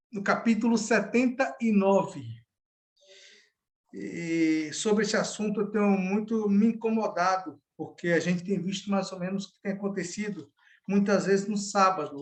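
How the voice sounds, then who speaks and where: A middle-aged man from Salvador, a pastor giving an informal Bible study over a video call, chatty and often ending with a tag question. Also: high-pitched. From Salvador, Bahia, Brazil.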